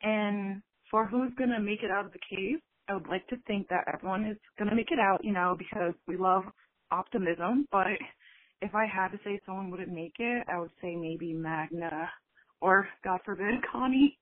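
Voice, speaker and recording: Brief: female caller, mid pitch, phone-line voicemail sound. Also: normal speed, a little hiss or hum. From New Jersey.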